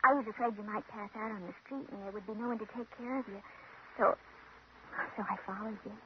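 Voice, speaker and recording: An actress playing a wistful young woman with an old crush in a 1950s radio drama, speaking softly, hesitantly and coyly. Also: medium pitch, steady tone, fast talker, lightly acted. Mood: wistful, admiring. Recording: muffled, noisy old radio recording.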